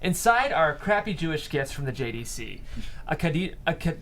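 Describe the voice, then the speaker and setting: A man narrating stage directions in an animated way at a script table read. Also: fairly high pitch, normal speed. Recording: room recording with faint noise.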